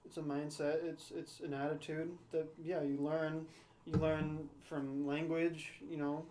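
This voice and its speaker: A man's voice: a little high, flat delivery, a little slow, a little mellow, slight background noise. A young man co-hosting a podcast, talking things through in a thoughtful, hedging way, often checking in with "right?". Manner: thoughtful, hedging, frequent "right?" and "you know". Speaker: podcast co-host. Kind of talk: casual discussion.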